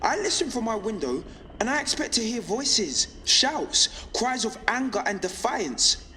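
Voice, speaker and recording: A young male rebel speaks out over illicit radio in a high, forceful voice. His audio drama monologue is measured and impassioned, ringing with long reverb over faint noise. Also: animated. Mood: impassioned, angry. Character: defiant young rebel pirate radio broadcaster. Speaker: underground radio broadcaster.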